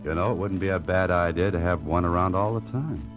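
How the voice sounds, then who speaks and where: An actor playing a wry, tough private investigator in 1940s radio style, with dry, understated delivery. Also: male voice, low-pitched, brisk, natural voice. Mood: musing, self-mocking. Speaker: actor playing the lead detective. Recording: muffled vintage radio recording with music.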